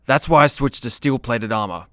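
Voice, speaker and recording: Resonant, casual actor as a strong superhero. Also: male voice, mid-range voice, expressive, moderate pace, pushed and bright, no room sound, clean studio sound. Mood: easygoing. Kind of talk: comedy radio play acting.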